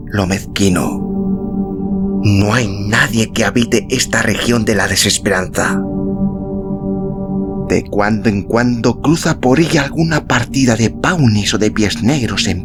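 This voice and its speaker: A man reading a literary story as an audiobook narrator, in a measured, solemn, dramatic style with deliberate pauses. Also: lowish voice. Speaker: audiobook narrator and podcast host. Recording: music behind the voice. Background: background music.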